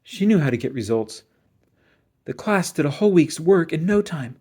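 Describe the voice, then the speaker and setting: A storybook reader giving an expressive children's read-aloud narration. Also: mid-range voice, normal speed, husky.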